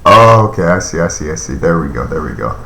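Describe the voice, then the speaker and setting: A man's voice: extremely low pitch, big pitch swings, fast, echoey room, background noise. A man in his thirties chatting casually on a live stream, reading and answering viewer comments in a loose, rambling way with lots of fillers. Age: 30s.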